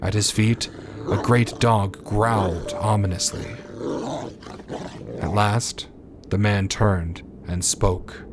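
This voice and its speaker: A man's voice: fairly low pitch, steady tone, takes it slowly, pauses often. A man announcing and narrating a radio drama in a solemn, theatrical, scripted style. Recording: loud music behind voice. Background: loud music.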